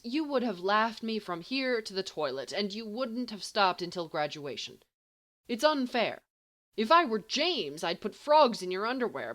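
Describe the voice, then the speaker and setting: A woman's voice: medium pitch, fairly bright tone, pitched above the performer's own voice. Audiobook role: dry-witted bookish teenage boy voiced by a woman, exasperated, grumbling, with very varied intonation. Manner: grumbling, sardonic, halting when flustered.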